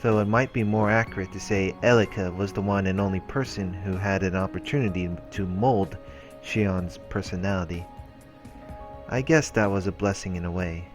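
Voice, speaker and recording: Deep-voiced man reading over music. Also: moderate pace. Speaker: let's play host. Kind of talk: reading visual novel text aloud.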